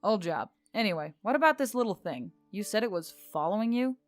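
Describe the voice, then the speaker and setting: A woman voicing a weary, sardonic male treasure-hunting boss in a fantasy audiobook, with a gruff, derisive, deadpan manner. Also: mid-range voice, a little fast, faint music behind, lightly acted. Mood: tired, evasive.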